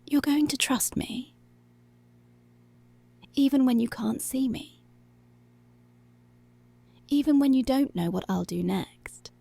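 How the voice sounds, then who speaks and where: A woman playing a dominant, teasing, seductive policewoman in an intimate ASMR roleplay, with a breathy, whispery voice and slow, deliberate, commanding delivery. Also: sits high, animated, mellow, dry recording, exaggerated voice. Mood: teasing, controlling. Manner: slow, deliberate, controlling, drawn-out phrases.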